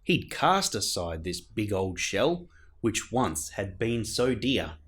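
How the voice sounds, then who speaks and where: A man reading a children's picture book aloud as a storyteller, in a theatrical manner with dramatic pauses. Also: on the low side, big pitch swings, a bit bright, breathy edge. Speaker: storyteller, show host.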